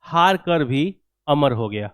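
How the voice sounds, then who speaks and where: A man's voice: a little high, lively intonation, slow pace, fairly bright tone, clear, resonant tone, no room sound, studio quality. A man teaching history for exam coaching, lecturing didactically, repeating key phrases and asking rhetorical check questions. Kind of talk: classroom lecture with dictated answer points.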